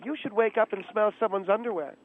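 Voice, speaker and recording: A man's voice: sits high, quick pace, dry recording, pitched above the performer's own voice, natural voice. Man doing a snippy bigoted woman on the phone. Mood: provocative. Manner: snippy, condescending, provocative. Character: abrasive bigoted middle-aged woman guest. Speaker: guest. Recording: tinny phone line.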